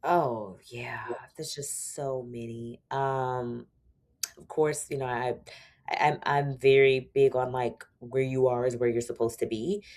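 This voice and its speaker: A woman in her forties, a podcast guest, chatting casually and telling stories with lively quoted dialogue and frequent laughs. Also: a bass voice, unhurried. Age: 40s. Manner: animated storytelling, acts out quoted dialogue; laughs often. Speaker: podcast guest, attorney turned entrepreneur. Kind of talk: casual interview chat.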